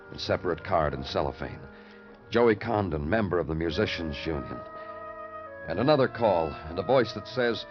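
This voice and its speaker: An actor playing a hardened New York homicide detective, narrating in terse, poetic fragments in a 1950s American radio drama style. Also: male voice, deep voice. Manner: terse, clipped fragments, poetic and world-weary. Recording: muffled old radio recording with music.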